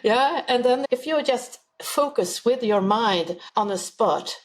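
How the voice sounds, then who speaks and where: A woman's voice: on the high side, animated, takes it slowly. A woman being interviewed as a spiritual healer, explaining her ideas earnestly in simple, uneven English phrasing. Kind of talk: podcast interview answers.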